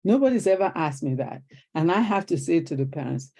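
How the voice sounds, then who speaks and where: Older Nigerian woman, guest storyteller, rough-edged mellow tone. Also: low-pitched, fairly quick. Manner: animated storyteller acting out quoted exchanges. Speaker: guest, retired teacher. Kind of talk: conversational interview storytelling.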